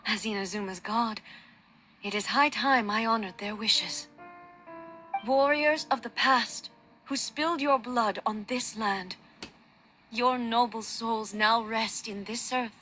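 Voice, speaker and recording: Regal female warrior god, bright forceful tone, formal and grave, measured, game dialogue over music. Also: a little high, steady tone. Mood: solemn resolve. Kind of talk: video game voice acting. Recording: music behind the voice.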